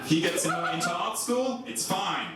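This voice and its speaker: A young Swiss man with a German accent, doing a stand-up set in a comedy club with a dry, deadpan delivery. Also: measured pace, distant mic, loud music behind the voice. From Switzerland. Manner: dry, deadpan delivery with lively swings in pitch. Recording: echoey club recorded from afar, audience noise.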